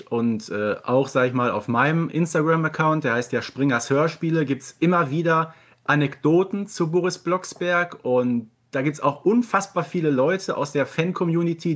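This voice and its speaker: Guy with a fairly high voice chatting on a podcast, keen fan type. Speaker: podcast co-host appearing as guest. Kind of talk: casual podcast conversation.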